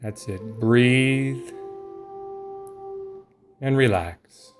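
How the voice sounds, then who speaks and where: A man narrating a guided relaxation meditation in a soothing, lulling manner, leaving long pauses between short phrases. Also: medium pitch, over music.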